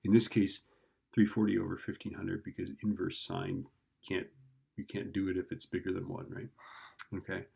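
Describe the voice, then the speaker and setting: Gravelly, husky man explaining a problem casually. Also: low voice, flat delivery, moderate pace, darkish tone. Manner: casual, thinking aloud, checking in with "right?". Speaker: physics teacher. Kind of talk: informal worked-problem explanation.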